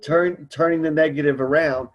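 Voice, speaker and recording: A man's voice: on the high side, steady tone, normal speed, muffled recording. A man speaking casually as host of a support group conference call, earnest, encouraging and a bit rambling.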